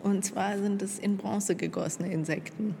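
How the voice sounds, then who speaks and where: A woman art graduate calmly explaining her own artwork in an exhibition room. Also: medium pitch, moderate pace, gravelly. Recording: long reverb with audible background noise.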